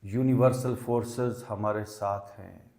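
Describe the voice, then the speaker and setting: A man leading a guided meditation as a teacher, speaking in deliberate short phrases with long pauses. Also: lowish voice, fairly even intonation, vocal fry, warm tone. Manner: mixes English words into Hindi. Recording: echoey, reverberant room sound.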